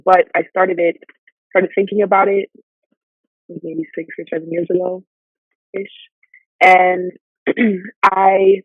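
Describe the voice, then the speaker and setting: A woman in her thirties, a guest poet answering interview questions in a relaxed, rambling way. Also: on the low side, breathy edge, no echo. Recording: dry, tinny phone line.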